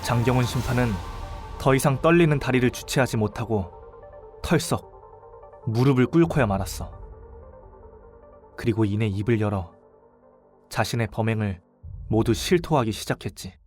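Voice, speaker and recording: A male actor playing a young student detective in an audio drama, speaking with polite, deliberate and dramatic composure. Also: mid-range voice, darkish tone, soft background music, natural voice. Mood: grave narrating. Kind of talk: audio drama acting with first-person narration.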